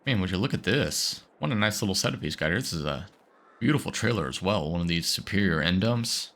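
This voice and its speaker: Deep voiced guy with a cold, rambling thru farm game commentary, um and uh everywhere. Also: animated, fairly quick, fairly bright tone, a little hiss or hum. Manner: rambling, filler-heavy, chatty. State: getting over a cold. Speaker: gaming video host. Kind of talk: casual gameplay commentary.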